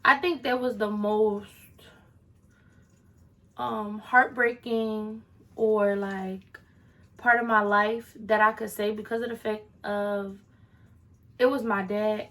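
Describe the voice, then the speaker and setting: Chatty bright-voiced young woman. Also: medium pitch, little pitch movement, unhurried. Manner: chatty, sassy asides to viewers. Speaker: vlogger. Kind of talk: casual Q&A vlog.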